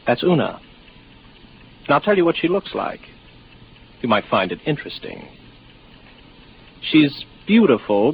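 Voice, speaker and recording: An actor playing a weary, lonely young spaceman, wry and rambling, in a 1950s radio drama. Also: medium pitch. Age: 20s. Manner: wry, musing, sardonic. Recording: noisy muffled 1950s radio recording.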